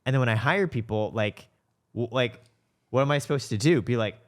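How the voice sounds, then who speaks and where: A man's voice: medium pitch, a little fast. A man talking candidly and casually as a podcast host, reflective and given to rhetorical questions. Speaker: show host and company co-founder.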